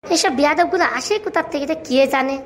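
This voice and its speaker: A woman plays a cheeky cartoon schoolboy with a highish voice. He rattles things off at great speed, like a recited lesson, sure of himself and bossy with it. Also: quick pace, close to an everyday voice. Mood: irritated, scolding. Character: cheeky know-it-all village schoolboy Hagu, bossy and boastful. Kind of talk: comic cartoon dialogue.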